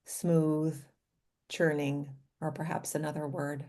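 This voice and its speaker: A woman leading a guided meditation in a gentle, inviting manner, speaking in short phrases with pauses between them. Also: low voice, little pitch movement.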